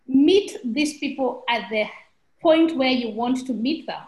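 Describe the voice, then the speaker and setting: A woman from Africa, a guest, answering thoughtfully in a measured, earnest way. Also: high voice, lively intonation, a little mellow. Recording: echoey room sound.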